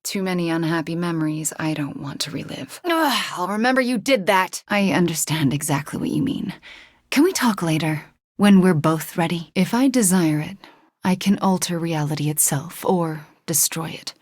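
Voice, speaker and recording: A young video game sorceress with a lowish, husky voice. She is earnest and wry, with a wounded, defiant streak. The recording is dry and close. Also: lively intonation, normal speed, no room sound. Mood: troubled, hushed, then defiant, forceful. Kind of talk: video game character voice lines.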